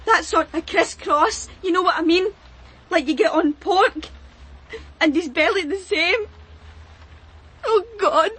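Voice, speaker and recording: A distraught cleaner in deep shock for a radio crime drama. Her voice is extremely high and tearful. She stumbles and repeats herself, close to hysteria. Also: a bit bright, full character voice. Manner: halting, repetitive. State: tearful, shaken, near hysterical. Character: shocked, distraught female cleaner who found a body.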